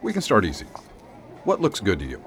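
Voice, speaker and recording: Wildly expressive folksy sheriff. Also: male voice, lowish voice, a little fast, a little hiss or hum. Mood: kindly, reassuring. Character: folksy, grumbling but kindly small-town sheriff. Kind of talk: radio drama acting.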